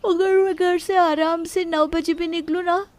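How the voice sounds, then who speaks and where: A woman's voice: extremely high pitch, level tone, measured pace, darkish tone. A woman playing an irritated young working sister-in-law who talks in a protesting manner in a story. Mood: mild protest.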